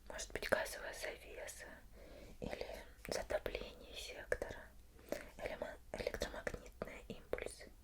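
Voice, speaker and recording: Whispering woman acting a patient investigator. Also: lightly acted. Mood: calm probing. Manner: slow, probing questions with pauses. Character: female investigator questioning a lab disaster survivor, patient but suspicious. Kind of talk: ASMR roleplay.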